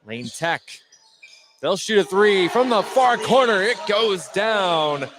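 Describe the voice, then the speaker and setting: A man with a fairly high voice calls a basketball game play by play for radio. Faint gym noise and a bouncing ball sit behind him. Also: expressive, slow pace, a bit bright. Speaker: play-by-play announcer. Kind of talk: live basketball radio commentary. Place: indoor high school gym.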